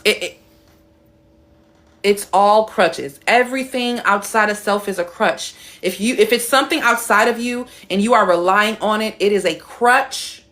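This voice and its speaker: A young woman talks straight to her viewers on a live stream, blunt and emphatic, swearing freely. She plays with her voice, dropping it low and deep or making it high and animated. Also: unhurried. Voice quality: playful, shifts her voice between high and deep. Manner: blunt, emphatic and swearing, reading out chat comments.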